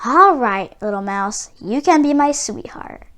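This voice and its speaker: A woman's voice: fairly high pitch, expressive, a little slow, fairly bright tone. Woman reading as a mild, hesitant young fairy-tale man. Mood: doubtful, gentle.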